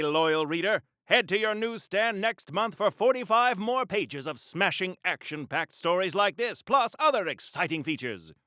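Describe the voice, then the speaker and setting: An actor playing a pompous, earnest old-fashioned comic book superhero in a video game, declaiming theatrically in retro mid-century style. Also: male voice, on the high side, pushed and bright, no room sound, studio quality.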